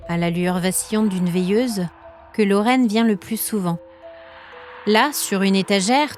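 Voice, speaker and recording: Female podcast narrator, mid-pitched voice, measured suspenseful delivery, scripted literary storytelling, soft music bed.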